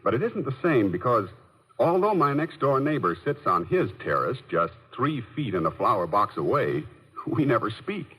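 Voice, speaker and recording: A man's voice: medium pitch, big pitch swings, normal speed, a bit bright, breathy edge. An actor playing a wistful, neighborly man telling his story in a folksy 1950s radio ad style. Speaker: testimonial storyteller in a beer commercial. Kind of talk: 1950s radio ad monologue, scripted narration. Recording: old radio recording with long reverb.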